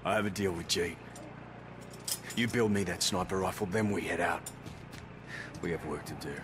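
An actor playing a stoic, hardened wasteland driver in a video game, blunt, clipped and deadpan. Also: male voice, sits low, moderate pace, background noise, natural voice. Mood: guarded. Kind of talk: video game character acting.

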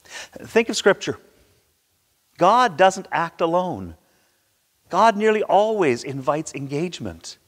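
A man in his sixties preaching a sermon with theatrical, emphatic delivery and dramatic pauses, recorded in a church. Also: sits high, sing-song. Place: large church sanctuary, indoors at a pulpit. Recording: reverberant church hall.

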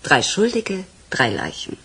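A woman's voice: low-pitched, wildly swooping pitch, a little slow, a bit bright, breathy edge, heavily acted. A woman acting a cold, calculating criminal who betrays her lover, controlled and mocking, in a German radio crime drama.

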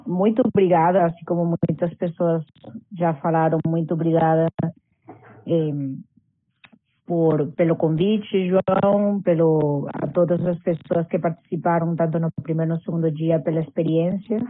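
A woman from Venezuela, a dancer, speaking slowly and thoughtfully on a video call, searching for her words. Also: low voice, fairly even intonation, no room sound. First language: Spanish. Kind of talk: video call panel talk. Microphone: laptop mic on video call, camera off. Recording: tinny compressed call audio.

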